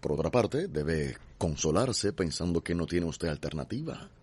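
An actor playing a cold, domineering landowner in a radio drama, imperious and threatening, with a Cuban accent and husky voice. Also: male voice, low-pitched, big pitch swings, moderate pace, darkish tone, full character voice. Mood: cold menace. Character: cold, menacing, domineering male slaveholding landowner.

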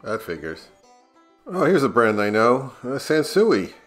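A man's voice: medium pitch, big pitch swings, measured pace, a bit bright, soft background music. Middle-aged American man, casual vlog narration. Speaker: audio gear YouTuber.

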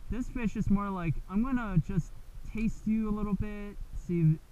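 A man's voice: sits high, moderate pace, mellow, lo-fi sound. A man chatting casually while fishing from a seawall, talking in a laid-back, stumbling way, recorded outdoors on an action camera. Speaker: angler filming a fishing trip.

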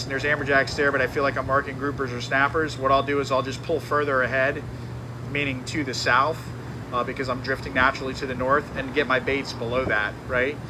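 A charter fishing captain giving a live seminar in a tackle shop, talking casually with many fillers and restarts. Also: male voice, medium pitch, background noise.

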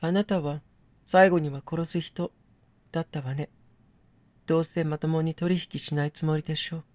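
Man voicing a scornful captive woman, raised pitch. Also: no echo, close to an everyday voice. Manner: reproachful, knowing, feminine phrasing. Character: bitter, scornful woman held hostage, defiant.

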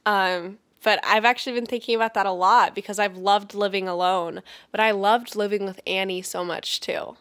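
Nineteen-year-old female co-host, relaxed and giggly, bright tone. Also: medium pitch, normal speed, hardly any pauses. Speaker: podcast co-host, actress. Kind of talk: casual family podcast chat. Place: room with shuttered doors, on a video call. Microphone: studio condenser mic, earbuds in.

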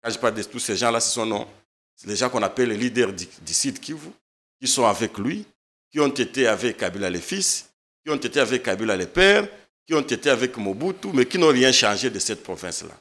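A man's voice: medium pitch, animated, fairly quick, fairly bright tone. A man in his thirties from eastern Congo, a lawyer speaking as a studio guest, emphatic and impassioned, often repeating words. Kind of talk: studio political interview. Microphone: desk microphone.

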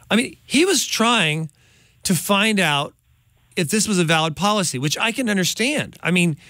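A man's voice: high voice, sing-song, a little slow, pauses often, bright, forceful tone, no echo. A middle-aged man hosting a studio interview, asking emphatic, indignant questions. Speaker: host. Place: studio with large window. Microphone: studio microphone on boom.

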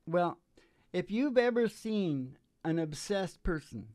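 A man's voice: high voice, expressive, takes it slowly, a little mellow. A man of about sixty, hosting and rambling casually between songs at a stage microphone, with an irreverent, profane, philosophizing manner. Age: older, about 60. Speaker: singer and show host. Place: small dark curtained stage, indoors. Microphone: stage microphone on a stand.

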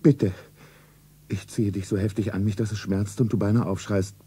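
An actor playing a wealthy middle-aged lover, tender, solemn and secretive, in a radio play. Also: male voice, fairly low pitch, fairly even intonation, normal speed, warm tone, breathy edge. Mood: pleading. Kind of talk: stylised radio play acting, narrating his own scene. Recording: 1970s radio play, music behind.

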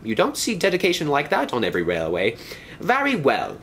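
A man's voice: fairly high pitch, wildly swooping pitch, fairly quick, fairly bright tone, slight background noise, pitched above the performer's own voice, heavily acted. A man voicing a pompous, stern male railway inspector who scolds in a haughty tone.

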